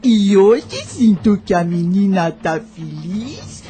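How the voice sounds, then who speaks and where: A woman's voice: medium pitch, sing-song, takes it slowly, dark tone, faint music behind. A woman playing a wise, motherly older enslaved nanny in an audio drama, with old-fashioned rustic, consoling speech. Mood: tender, cheerful.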